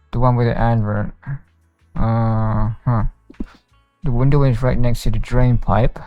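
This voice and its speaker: A man's voice: medium pitch, dark tone, no room sound. A man streaming a video game, casually commenting and reading dialogue aloud into a close mic with game music behind.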